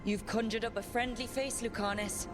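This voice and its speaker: A woman's voice: a little high, steady tone, moderate pace, music in the background, lightly acted. A woman playing a steadfast, earnest, determined heroine in dramatic video game dialogue. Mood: earnest, encouraging.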